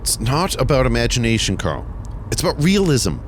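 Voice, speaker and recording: Pedantic uptight planner, played by a man, formal and preachy, lively intonation, road noise. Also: medium pitch, a little fast, close to an everyday voice. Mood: lecturing. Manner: formal, lecturing, over-precise. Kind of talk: comedic audio drama acting. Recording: noisy car ambience.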